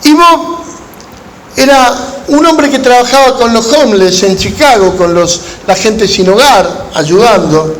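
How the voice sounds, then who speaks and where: A man with an Argentine Spanish accent preaching as a pastor, telling stories in measured, deliberate phrases with pauses. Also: very high-pitched, lively intonation, fairly bright tone. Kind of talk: sermon to a gathering of pastors, with storytelling. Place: large room at a church conference. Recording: echoey hall with background noise.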